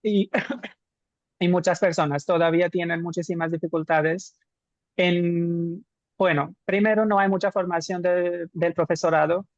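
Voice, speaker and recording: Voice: medium pitch, fairly even intonation, a little slow, no room sound. Teacher on a dull call. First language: Hindi. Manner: explanatory, poses rhetorical questions. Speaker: Spanish teacher. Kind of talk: live online teaching discussion. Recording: dull dry lo-fi call audio.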